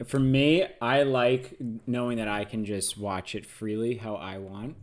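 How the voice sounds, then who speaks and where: A man's voice: on the low side, fairly even intonation, a little slow. A man co-hosting a podcast in a casual chat, with joking, teasing banter. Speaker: podcast co-host.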